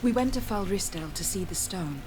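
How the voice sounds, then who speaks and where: A woman's voice: mid-range voice, expressive, moderate pace, darkish tone, close to an everyday voice. Composed noblewoman envoy played by an actress, noisy ambience. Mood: formal. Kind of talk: scripted game dialogue acting.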